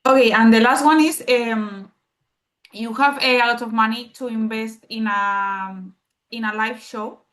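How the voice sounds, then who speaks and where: Woman interviewer, speaks English, a bit high-pitched, brisk and playful. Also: fairly even intonation, a bit bright. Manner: brisk, playful questions, non-native grammar.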